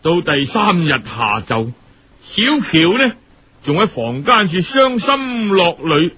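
A man's voice: high-pitched, very animated, slightly rough, narrow, tinny audio. A man with a Cantonese accent telling a story in a dramatic, colloquial radio audiobook style. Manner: dramatic, colloquial, with drawn-out phrase-end particles.

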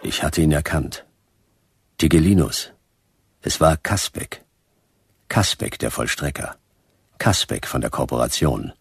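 Noir private eye, radio play: rock-bottom pitch, creaky, terse, deadpan. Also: male voice, measured pace, pauses often, no room sound, studio quality, exaggerated voice. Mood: terse, watchful. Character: laconic, hard-boiled male private detective. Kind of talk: noir radio drama narration and dialogue.

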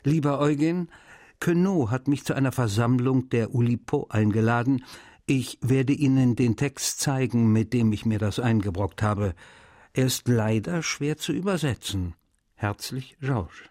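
A man's voice: mid-range voice, unhurried, mellow, no room sound, studio quality, lightly acted. An actor playing a friendly novelist, reading his letters to his translator in a radio documentary. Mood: friendly, wry.

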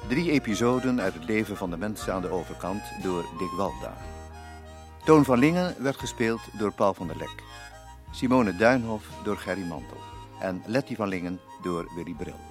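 A man's voice: low voice, animated, normal speed. A man announcing the closing credits of a radio drama in a scripted narration. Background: guitar and harmonica music.

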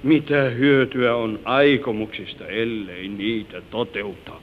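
An older man acting a gentle, wistful grandfather who tells his life story and moralizes to his granddaughter in an old-time radio drama. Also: medium pitch, fairly even intonation, natural voice. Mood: earnest, preaching. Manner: tender, wistful, moralizing, slightly solemn. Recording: tinny, noisy old radio broadcast recording.

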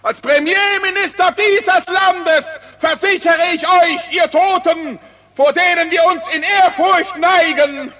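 An actor playing a pompous, demagogic prime minister delivering a declamatory funeral oration in a 1960s radio play, in a reverberant hall. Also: male voice, extremely high pitch, slow pace, bright, forceful tone, exaggerated voice. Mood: fervent, threatening. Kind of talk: solemn political oration, 1960s radio acting. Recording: long hall reverb of public address.

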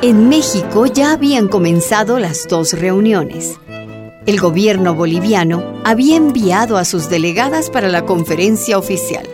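A female narrator delivers scripted storytelling for a radio play. Her pitch is average, and music plays clearly behind her. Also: lively intonation, moderate pace.

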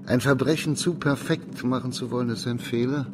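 Judge-investigator, male actor, radio crime drama. Middle-pitched, stern, measured, with formal authority. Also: fairly even intonation, background noise, close to an everyday voice. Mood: reflective, analytical.

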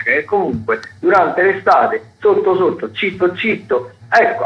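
A man's voice: on the high side, lo-fi sound. A man giving theatre listings on radio as a critic, in a playful, wordy, conversational way. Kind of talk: chatty theatre listings commentary.

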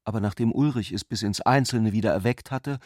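A man giving measured, scripted literary narration for a radio play. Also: lowish voice, darkish tone, no echo, studio quality.

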